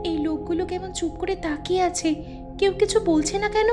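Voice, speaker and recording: A woman's voice: very high voice, moderate pace, over music, pitched above the performer's own voice. A woman voicing a timid, fretful young village daughter-in-law in a folk tale, plaintive and anxious. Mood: wary, fearful. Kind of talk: Bengali folk tale dramatization.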